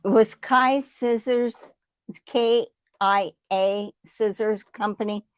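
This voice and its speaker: A woman's voice: mid-range voice, fairly even intonation, takes it slowly, clean tone, no room sound. A middle-aged woman from Ohio, a guest in a casual chat. She talks in a folksy, chatty way. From Ohio, United States. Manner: folksy, chatty, laughs easily.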